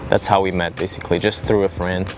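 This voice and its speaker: A man's voice: deep voice, flat delivery, fast, over music. A man from Brazil, a musician, giving casual interview answers in an informal, rambling way. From Brazil, half French. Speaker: musician and producer in a band.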